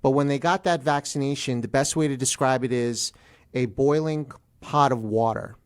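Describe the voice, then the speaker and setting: American man explaining haltingly, mid-pitched voice. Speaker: guest attorney. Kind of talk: radio interview, explaining in plain terms.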